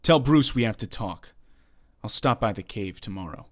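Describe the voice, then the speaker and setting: A man's voice: fairly low pitch, expressive, moderate pace, a bit bright. A man playing a confident, commanding young costumed vigilante in a comic dub, cool, assured and condescending. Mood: calm, commanding. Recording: faint music behind the voice.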